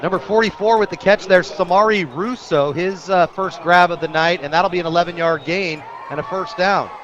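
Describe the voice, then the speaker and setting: A man calling live football play-by-play on the radio, excitable and breaking into shouts on big plays. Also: high voice, normal speed, muffled recording. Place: outdoor football stadium broadcast.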